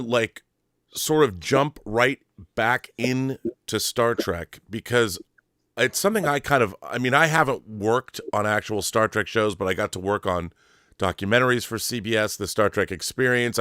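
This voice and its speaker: A man's voice: medium pitch, moderate pace, fairly bright tone, smooth, no room sound, studio quality. An American man, effusive and theatrical, hosting a casual fan interview show. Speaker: talk show host. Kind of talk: showman intro, then casual fan interview.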